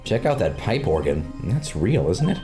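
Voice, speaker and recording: A man's voice: sits low, very animated, music in the background. A man co-hosting a comedic game playthrough, joking and swearing between character lines. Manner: joking, swearing, quick reactions. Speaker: co-host. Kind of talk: comedic let's play banter and character dubbing.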